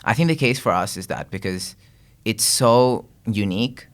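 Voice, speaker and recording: A man's voice: lowish voice, lively intonation, moderate pace. A young man from Bangladesh, a guest content creator, talking earnestly and reflectively in a casual interview, with frequent fillers and restarts. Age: young adult.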